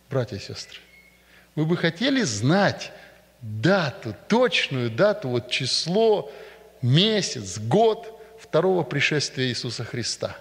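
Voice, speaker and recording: A man preaching a sermon to a congregation in a church, speaking emphatically and deliberately. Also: on the high side. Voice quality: emphatic, rising and falling for stress, slow deliberate delivery. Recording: long reverb of a church hall.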